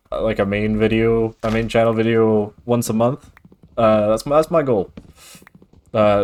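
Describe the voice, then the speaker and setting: A man from Scotland with a mild Scottish accent, streaming a game and casually chatting with viewers. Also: medium pitch. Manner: relaxed, chatty, reads and answers chat with frequent fillers. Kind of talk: casual gaming stream chat.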